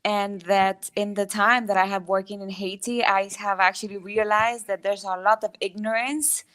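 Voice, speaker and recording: A woman from the Republic, answering interview questions in a measured, polite way. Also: medium pitch, fairly even intonation, a bit bright. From Dominican Republic. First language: Spanish. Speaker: guest, construction business owner.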